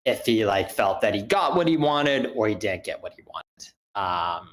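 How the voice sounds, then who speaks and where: Male, fairly low register, thoughtful critique in a group meeting, tentative delivery that loops back to rephrase. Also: very animated, normal speed, fairly bright tone. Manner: hesitant, circling back to rephrase points. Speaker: participant giving script feedback. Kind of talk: spoken critique in an online group discussion.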